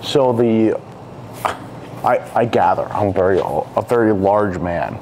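A young man chatting casually, joking at his own expense in a deadpan way. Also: lowish voice, slow. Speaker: show host and business owner. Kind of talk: casual chat. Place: indoor garage workshop. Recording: background noise in a workshop.

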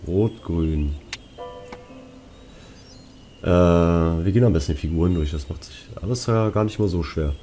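A man's voice: sits low, normal speed, pauses often, warm tone, soft background music. A young man giving casual gameplay commentary, slangy and muttering to himself. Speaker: let's player.

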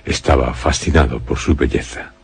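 A man's voice: a bass voice, fairly even intonation, normal speed, a little hiss or hum. A man narrating a gothic suspense radio drama in a grave, scripted style. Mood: grave, ominous. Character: grave male narrator of a gothic horror tale.

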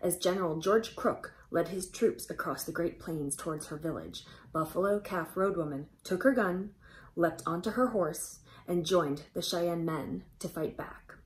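A woman in her thirties reading a storybook aloud to children, expressive and emphatic, addressing them directly. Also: on the low side, unhurried, slight background noise. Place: indoors, small room, close to camera.

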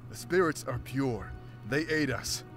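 A man's voice: medium pitch, a little slow, over music, close to an everyday voice. An actor playing a solemn, protective male beast warrior in a fantasy game, grave and earnest. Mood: troubled.

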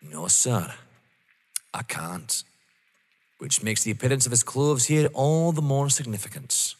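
An actor playing a methodical, smugly polite police inspector in an audio drama, formal and insinuating. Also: male voice, mid-range voice, big pitch swings, a little slow. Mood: accusing. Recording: long hall-like reverb.

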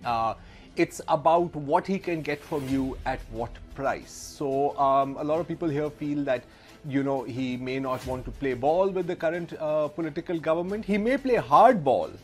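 A man's voice: a little high, normal speed, darkish tone, soft background music. A man giving thoughtful, hesitant interview commentary as an analyst. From Pakistan. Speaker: political analyst. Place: small indoor room lined with books.

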